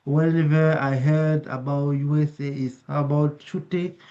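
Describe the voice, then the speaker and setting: Non-native man with a strong accent on a dull lo-fi call line, speaking slowly, in a dark low voice, hesitant, restarting often. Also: fairly even intonation. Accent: non-native English. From outside Canada, non-native English speaker. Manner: hesitant, searching for words, many restarts. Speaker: meeting participant, English learner. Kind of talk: casual online meeting talk.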